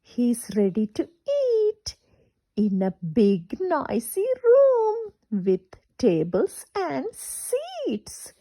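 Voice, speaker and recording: A woman reading a picture book aloud to children in a singsong, interactive way, asking questions as she goes. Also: sits high. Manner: animated, singsong, asks questions and pauses for children. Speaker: children's storyteller.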